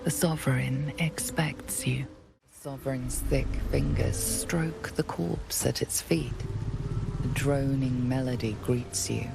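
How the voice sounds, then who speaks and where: Very low, creaky fantasy narrator. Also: female voice, heavily acted. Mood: solemn, mysterious. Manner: measured, atmospheric, deliberate. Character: omniscient female fantasy game narrator, solemn and mysterious. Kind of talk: scripted narration. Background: music.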